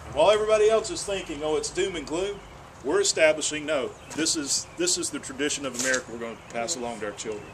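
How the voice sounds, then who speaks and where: A man's voice: fairly high pitch, fast talker. An earnest man speaks at a town hall, in a slightly raised register. He often repeats words when he restarts a sentence. A faint hiss of noise sits under his voice. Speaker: state senator.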